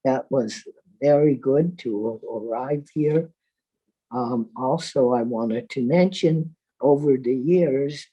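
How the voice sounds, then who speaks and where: A woman in her nineties from Hungary with a husky voice, giving prepared personal testimony as a survivor. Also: extremely low pitch, warm tone, rough recording. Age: 90s.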